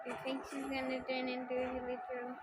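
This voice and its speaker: High-voiced woman griping on a game stream. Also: completely flat delivery, fairly quick, over loud music, rough recording. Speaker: game livestreamer. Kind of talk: casual gameplay reaction chat.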